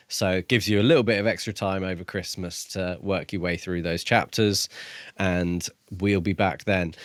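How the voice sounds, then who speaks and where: A man's voice: on the low side, dry recording. A man co-hosting a casual book discussion podcast, talking with dry, wry humour. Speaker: podcast co-host.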